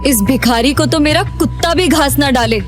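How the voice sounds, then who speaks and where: A woman's voice: high voice, normal speed, over music, close to an everyday voice. An actress playing a haughty, scornful young woman in a Hindi audio drama.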